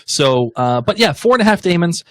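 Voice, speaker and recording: A man's voice: a little high, big pitch swings, normal speed, a bit bright, no echo, clean studio sound. A man co-hosting a TV recap podcast, enthusiastic and rambling, laughing easily. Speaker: podcast co-host. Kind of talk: casual TV recap chat.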